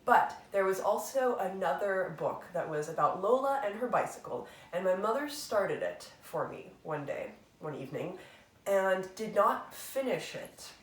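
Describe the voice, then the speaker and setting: A woman's voice: mid-range voice, expressive, measured pace, distant mic. A woman hosting her book channel, chatting casually and reflectively, rambling with fillers and poking fun at herself. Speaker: booktube channel host. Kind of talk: casual chat answering a tag. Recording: roomy echo, voice away from the mic.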